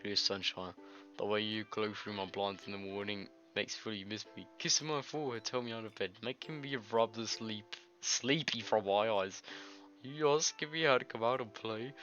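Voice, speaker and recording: A man's voice: fairly low pitch, bright, forceful tone. A man with a cold doing a casual, jokey let's-play, reading visual novel dialogue aloud with his own asides. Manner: jokey, giggly, informal. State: congested with a nasty cold, coughing. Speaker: video game let's-player. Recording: dull lo-fi mic with music behind. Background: game music.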